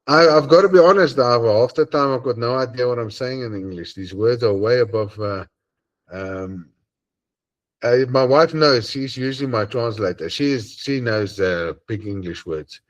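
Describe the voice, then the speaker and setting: A man with an Afrikaans accent and a deep voice, hosting an online group and chatting earnestly and hesitantly over a video call. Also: normal speed, lo-fi sound. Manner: hesitant, many ums and uhs, earnest. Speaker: host of an online assembly. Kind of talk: casual closing chat on a video call.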